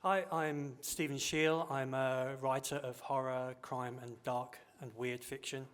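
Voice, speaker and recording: A man, a writer, introducing himself on a panel in a measured way with some restarts. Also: medium pitch, little pitch movement, a bit bright, slight background noise. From England.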